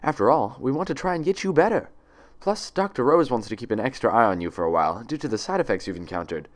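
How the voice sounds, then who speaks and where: A man plays a female pony nurse from a storybook. The voice is pitched up and swings widely, syrupy and condescending, with icy authority under the cheer. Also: lively intonation, fast talker, lightly acted. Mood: sweetly eager.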